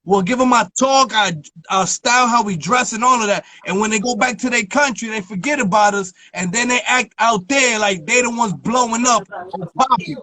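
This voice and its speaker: Bright, very high-voiced male host. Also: normal speed, no echo, poor audio. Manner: emphatic, keeps asking "you feel me". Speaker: interview host. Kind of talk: casual interview chat. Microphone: phone on a live stream.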